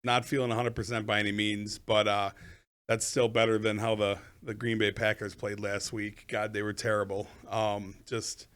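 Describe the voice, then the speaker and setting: A man co-hosting a sports betting podcast with a voice a bit off from a lingering cough, talking plainly with many pauses. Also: fairly low pitch, level tone, fairly bright tone. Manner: plainspoken, hesitant fillers. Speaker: podcast co-host. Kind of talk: casual sports betting chat.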